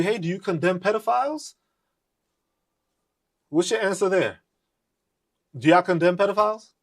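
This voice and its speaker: Man, podcast host, husky voice, swears constantly, mocking rant, pitch jumping around. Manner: profane, mocking, confrontational. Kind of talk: live political rant.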